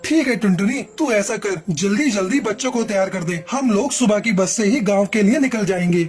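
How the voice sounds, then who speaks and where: A woman's voice: mid-range voice, moderate pace, darkish tone, slightly rough, soft background music, natural voice. A woman voicing a young male pigeon in a cartoon, a dutiful husband and son with a plain, decisive manner.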